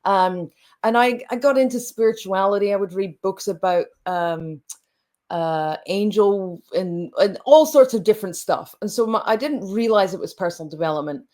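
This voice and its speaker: A woman's voice: mid-range voice, darkish tone. Reflective woman in her late forties. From Scotland. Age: late 40s. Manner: reflective, halting, many restarts. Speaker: coach, guest. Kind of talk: conversational interview.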